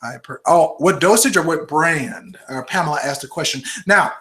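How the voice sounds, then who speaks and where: A man's voice: fairly high pitch, moderate pace, pushed and bright. A man in his forties hosting a live webinar, answering viewer questions in a casual, chatty way and constantly checking in with "okay?" and "all right?". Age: 40s. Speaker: host of a live health webinar. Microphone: computer microphone.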